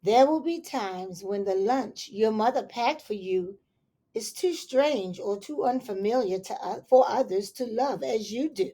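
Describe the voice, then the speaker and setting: A woman teacher reading a picture book aloud to young children, expressive and unhurried, with soft asides to her listeners. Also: on the high side. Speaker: elementary school teacher.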